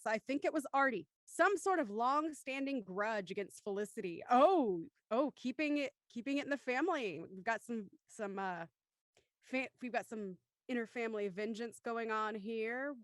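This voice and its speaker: A woman's voice: on the high side, dry recording, clean studio sound. A woman hosting a live online show in a chatty, encouraging way. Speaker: host and narrator.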